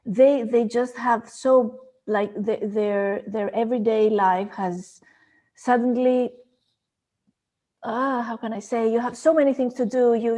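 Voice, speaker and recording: This is a woman, talking in a measured, unhurried way. Her voice sits a little high, and she often stops to search for a phrase. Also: darkish tone, highs cut off. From Greece. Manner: thoughtful, searching for words. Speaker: guest. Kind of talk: video call chat.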